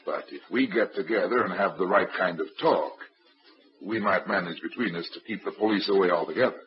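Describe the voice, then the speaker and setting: A man's voice: sits low, fairly quick, slight background noise, natural voice. An actor playing a hard-boiled private detective with a wry, clipped tough-guy delivery, in 1940s radio acting. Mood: probing. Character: wisecracking hard-boiled male private eye. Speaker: narrator and lead detective. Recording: dull old radio recording.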